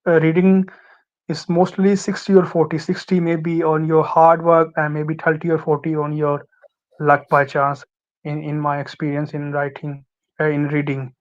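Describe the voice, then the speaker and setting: A young Pakistani man, Urdu his first language, talks through his experience with many fillers and false starts. His voice sits a little high and stays flat, yet comes through smooth and clear. The sound is dull and lo-fi, like an online call. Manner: halting, many fillers and restarts. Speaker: guest, former student. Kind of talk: casual interview advice.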